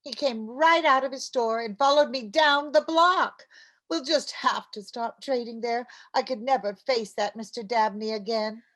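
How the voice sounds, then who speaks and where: A woman playing an excitable, chatty, scheming housewife in an old-time radio comedy, with a theatrical manner. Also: on the high side, natural voice. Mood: indignant, dry. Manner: theatrical, quick to jump to conclusions.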